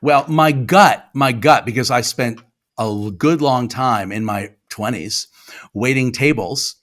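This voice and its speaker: A man's voice: medium pitch, unhurried, fairly bright tone. Chatty self-deprecating man guest. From New York. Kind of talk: casual chat.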